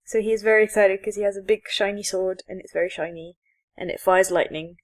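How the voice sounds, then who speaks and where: A woman's voice: mid-range voice, fairly even intonation, fast. A woman co-hosting a podcast, chatting casually about geeky things in a rambling, giggly, enthusiastic way. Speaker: podcast co-host. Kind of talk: casual geek chat.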